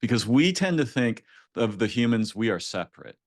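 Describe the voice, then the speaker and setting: A man's voice: medium pitch, very animated, normal speed, dry recording, clean studio sound. A man hosting a conversational interview, speaking reflectively and often checking in with "right?". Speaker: podcast host.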